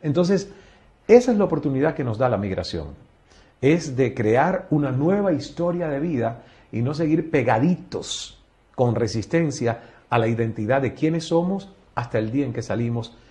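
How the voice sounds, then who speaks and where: Man, Cuban Spanish accent, forties, expressive, interview guest, table mic, small office. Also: mid-range voice, moderate pace. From Cuba. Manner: expressive, gestures a lot, shifts between emphatic and soft. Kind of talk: reflective interview answer. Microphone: table mic in front.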